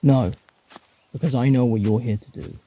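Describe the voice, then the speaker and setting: A man's voice: medium pitch, steady tone, normal speed, dark tone, breathy edge, close to an everyday voice. A man playing an eccentric, persistent time traveller in a fan audio drama, flippant yet earnest and reassuring.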